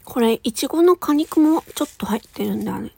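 A woman's voice: a little high, lively intonation, fairly quick, creaky, dark tone, breathy edge. A woman chatting casually in a food-tasting ASMR style, murmuring short remarks between mouthfuls and at times dropping toward a whisper. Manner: talks while eating ice cream. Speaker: audio streamer.

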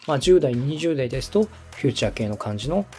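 Polite man explaining things quickly, lively pitch. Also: faint music behind. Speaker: host, radio director coaching podcast making. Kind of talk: explanatory coaching conversation.

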